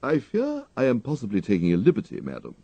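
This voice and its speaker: A man voicing a deferential, unflappable English valet in a storybook, speaking in formal, ornate, measured phrases. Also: mid-range voice, huge pitch swings, creaky, warm tone, slightly rough, no echo, highs cut off, pitched above the performer's own voice, heavily acted. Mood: respectful. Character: dignified English gentleman's valet, deferential, unflappable, dryly clever.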